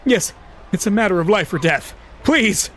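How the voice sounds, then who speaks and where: A male narrator voicing a desperate young man begging for a taxi, with a shrill, pleading voice. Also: lively intonation, moderate pace, a bit bright, a little hiss or hum.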